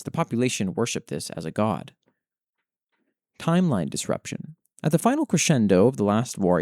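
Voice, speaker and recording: A man hosting a show, reading written fantasy lore aloud in an expressive, dramatic way with brief casual commentary. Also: lowish voice, no room sound, clean studio sound. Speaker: host reading listeners' worldbuilding submissions.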